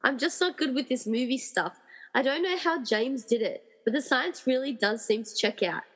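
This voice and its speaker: A woman's voice: high-pitched, moderate pace, big-room sound. A woman playing an anxious but determined hero in a radio play, earnest and wryly muttering. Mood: worried, pleading. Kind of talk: amateur radio-play acting.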